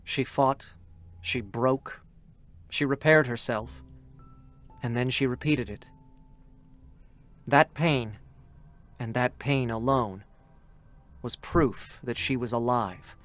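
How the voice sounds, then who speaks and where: A man giving slow, solemn, measured scripted narration at a live reading. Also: medium pitch, fairly bright tone, soft background music.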